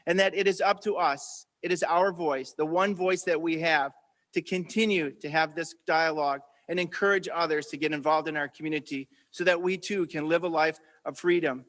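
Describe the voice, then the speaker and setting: A man's voice: fairly high pitch, fairly even intonation, moderate pace, a bit bright. A man giving the earnest, exhorting closing remarks of a commemorative speech. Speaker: event speaker.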